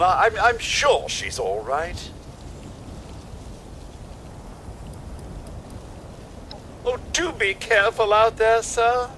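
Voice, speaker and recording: An actor voicing a polite, fussy robot butler in a video game, speaking in a formal, genteel, wordy manner with kindly worry. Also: male voice, sits high, pushed and bright, a little hiss or hum, exaggerated voice. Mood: reassuring. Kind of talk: scripted video game dialogue.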